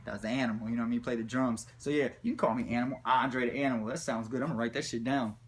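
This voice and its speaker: A man telling a comic personal story in a rambling, slangy, profane way with animated retelling. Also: mid-range voice, very fast. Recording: background noise, room ambience.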